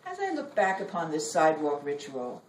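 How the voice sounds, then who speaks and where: Woman, 60s, live poet, slightly low pitch, measured delivery; distant, noisy room recording. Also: wildly swooping pitch. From Brooklyn, United States. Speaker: poet reading her own work. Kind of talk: live poetry reading, personal and wry. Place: indoor library reading room. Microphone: distant camera or phone in audience. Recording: roomy, distant mic, background noise.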